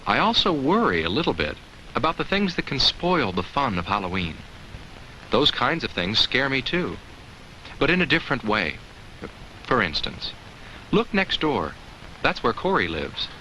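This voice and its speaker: A man's voice: medium pitch, very animated, normal speed, pauses often, pushed and bright. A man voicing a cheerful, kindly talking pumpkin who gives children simple safety advice in a 1980s educational film, asking them questions. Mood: friendly. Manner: simple, instructive, addresses children with questions and chuckles. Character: talking jack-o'-lantern, cheerful, kindly, safety-minded narrator. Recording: faintly noisy old educational film soundtrack.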